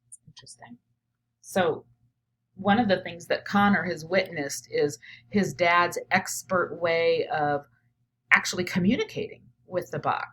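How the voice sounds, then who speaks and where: A woman teacher reading a picture book aloud and pausing to reflect on it out loud, often checking in with "right?". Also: lowish voice. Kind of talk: read-aloud of a picture book with think-aloud commentary.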